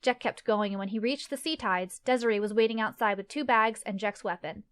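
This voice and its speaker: A woman's voice: medium pitch, fairly quick, smooth. A woman narrates a scripted fantasy tale. Her voice sits in a middle range. She reads as the storyteller of an audio play.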